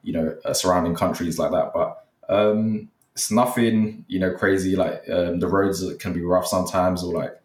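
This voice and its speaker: A young man from Nepal talks casually in a very deep voice, wandering through his answers with plenty of fillers and restarts. He sits back from the microphone in a roomy, echoing space. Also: steady tone, normal speed, few pauses, a little mellow, far from the mic. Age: young adult. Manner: rambling, many fillers, hesitant. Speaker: guest, content creator. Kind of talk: casual interview chat. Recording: echoey, from off the mic.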